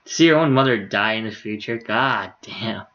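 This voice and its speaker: A man's voice: lowish voice, normal speed, lo-fi sound. A man reading a visual novel aloud on a livestream in a casual, unpolished way. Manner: casual, stumbles over words, swears in asides. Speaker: game streamer.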